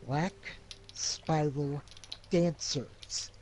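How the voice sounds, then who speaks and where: Slow-paced male gamer over background noise. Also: on the high side, steady tone, a little mellow. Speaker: player in a tabletop role-playing game. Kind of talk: casual in-character game play. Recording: background noise with keyboard typing.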